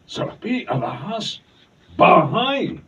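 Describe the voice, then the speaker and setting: Husky, slow, callous womanizer. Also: high voice, sing-song, bright, forceful tone, recorded from a distance, full character voice. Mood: mocking, dismissive. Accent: Filipino. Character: callous, womanizing rich lover, cold and mocking. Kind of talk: Filipino radio drama acting. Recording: dull recording, off the mic.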